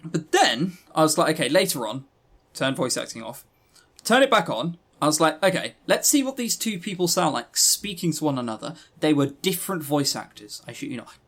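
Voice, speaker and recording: A man with a slightly high voice and very lively intonation. He chats casually as a podcast co-host reviewing games, sarcastic and prone to swearing. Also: fairly quick. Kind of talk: casual chat reviewing visual novels.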